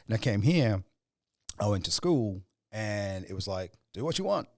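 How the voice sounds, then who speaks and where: A man's voice: lowish voice, sing-song, normal speed, a little mellow, dry recording, clean studio sound. A man from Sri Lanka hosting a podcast interview, asking long, musing questions and reflecting on his own experience. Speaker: podcast host.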